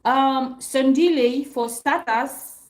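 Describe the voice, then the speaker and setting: A young adult woman hosting an opinion commentary, talking emphatically to viewers, repeating her points and filling pauses with um and uh. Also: high-pitched. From African country outside Nigeria and South Africa. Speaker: commentary channel host.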